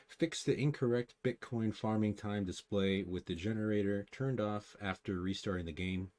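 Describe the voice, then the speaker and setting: A man's voice: fairly low pitch, moderate pace, darkish tone. A man giving casual, opinionated commentary on game patch notes, with dry asides as he reads. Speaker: gaming guide host.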